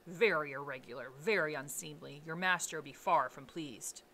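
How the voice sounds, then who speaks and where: A woman voicing a proper male lawyer in a storybook reading, formal, brisk and easily irritated. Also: fairly low pitch, sing-song, sharp tone, a little hiss or hum. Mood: peevish, formal. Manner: brisk, formal, questioning, peevish.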